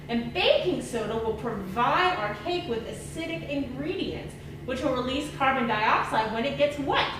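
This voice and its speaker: An excitable old scientist puppet, voiced by a woman, swoops about in a high voice. The puppet mulls things over aloud and exclaims at discoveries for young viewers. It sounds distant, in a very echoey, noisy room. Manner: musing, repeats words, exclaims, thinks aloud. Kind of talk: children's educational puppet show.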